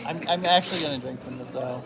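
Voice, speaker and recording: A panelist and reader at a convention, chatting casually and excitedly. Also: mid-range voice, fast talker, poor audio. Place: convention panel room indoors. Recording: room with loud crowd chatter. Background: chattering audience crowd.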